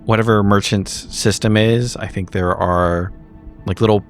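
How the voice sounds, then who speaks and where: A man playing a tabletop game, narrating his character's actions haltingly as he thinks aloud. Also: fairly low pitch, steady tone, soft background music. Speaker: player.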